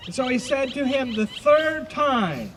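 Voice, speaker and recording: Very high-voiced, slow-paced male scripture narrator. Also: lively intonation, fairly bright tone. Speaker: narrator of an Easter service dramatization. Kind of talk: scripture narration for a live dramatization. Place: outdoors by a lake. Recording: faint outdoor noise, birdsong. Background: birds and outdoor ambience.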